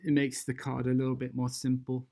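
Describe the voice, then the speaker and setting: A software tutorial presenter talking through steps unscripted and hesitantly, thinking aloud while demonstrating. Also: medium pitch, monotone, brisk.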